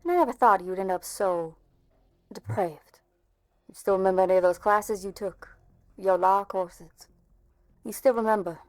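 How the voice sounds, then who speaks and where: A woman's voice: mid-range voice, no echo, natural voice. A woman playing a stern, bitter police officer in an amateur game fan dub, with a cutting, sarcastic delivery. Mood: bitter, scolding. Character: stern, bitter policewoman confronting an old friend.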